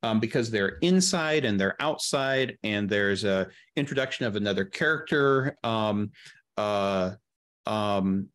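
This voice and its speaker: A man's voice: lowish voice, animated, unhurried, a bit bright. A middle-aged man chatting casually as an interview guest, explaining production matters at length and in practical detail on a video call. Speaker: guest, audio drama producer. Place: indoor room, video call.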